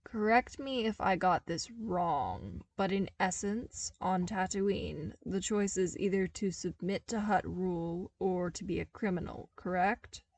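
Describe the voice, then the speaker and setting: A woman voicing a skeptical, terse young man in a story reading, questioning in a measured, dubious way. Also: lowish voice, darkish tone, dry recording. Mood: slow, unsure. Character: skeptical, terse young man, sheltered core-worlder friend.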